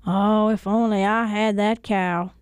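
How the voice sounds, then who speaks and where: A woman voicing an excitable, naive, kindly married man in a folktale, earnest and exclamatory. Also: mid-range voice, measured pace, clear, resonant tone, dry recording, lightly acted. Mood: delighted, wistful.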